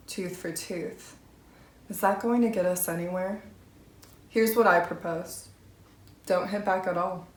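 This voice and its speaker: A woman reading scripture aloud for a church, with emphatic, direct delivery. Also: medium pitch. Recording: roomy, echoing sound.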